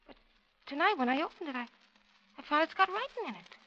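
High, fretful radio-drama wife. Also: female voice, expressive, fast, bright, forceful tone. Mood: anxious, pleading. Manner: fretful, urgent, colloquial. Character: frightened, anxious, insistent wife. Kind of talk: 1940s radio drama acting. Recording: dull old radio recording.

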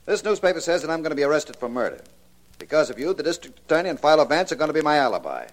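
Bossy sarcastic old-time radio gangster, impatient, voice swings all over. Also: male voice, a little high, sing-song, rapid-fire, background noise. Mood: irritated, sarcastic. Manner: sardonic, bossy. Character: cold-blooded, impatient gangster bullying his ditzy girlfriend. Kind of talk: 1940s radio acting.

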